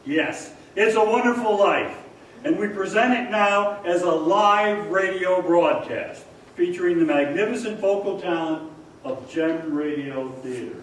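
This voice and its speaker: A man's voice: high voice, measured pace, fairly bright tone. A man playing an upbeat, theatrical 1940s radio show announcer, welcoming a live audience as host. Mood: grand, earnest. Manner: theatrical, showy, addressing the audience. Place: stage of a performing arts hall. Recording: echoey hall from far off, faint noise.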